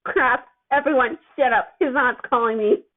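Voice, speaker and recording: A woman's voice: sits high, moderate pace. A woman voicing a frazzled, defensive man looking after a superpowered teenager, in an amateur story reading. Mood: exasperated. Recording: thin, phone-like narrow-band sound.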